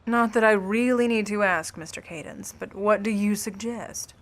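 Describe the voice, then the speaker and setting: A woman's voice: mid-range voice, normal speed, close to an everyday voice. A woman as a cool, haughty scheming starlet. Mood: cool disdain. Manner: haughty, controlled, cutting formal delivery. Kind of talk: old-time noir radio play acting.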